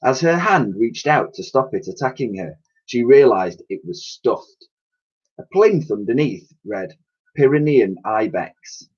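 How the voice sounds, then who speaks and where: Husky man reading a children's story. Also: medium pitch, big pitch swings, measured pace, dull audio. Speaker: primary school teacher reading aloud. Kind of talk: expressive children's storybook narration.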